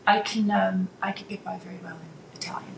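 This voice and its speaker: A woman's voice: medium pitch, fairly even intonation, a little fast, creaky. A woman in her fifties whose first language is Dutch, a guest talking casually and hesitantly, with many fillers and restarts. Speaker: interview guest. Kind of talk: casual conversation. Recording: echoey room with faint background noise.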